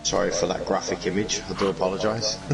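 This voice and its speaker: A man with a croaky voice, chatty and excitable, commentating live on a racing game league. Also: deep voice, rough recording. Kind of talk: live esports race commentary.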